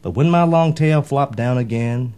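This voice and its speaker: A man voicing a gentle, apologetic motherly female cow who explains herself in children's storybook rhyme. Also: medium pitch, normal speed, close to an everyday voice. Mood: gentle, sorry. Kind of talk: rhyming children's storybook character.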